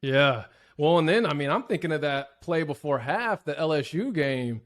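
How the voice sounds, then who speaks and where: A man hosting a podcast, chatty and agreeable, asking questions in a relaxed way. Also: a little high, normal speed. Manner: chatty, agreeable, many short backchannels. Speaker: podcast host. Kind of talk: casual interview chat.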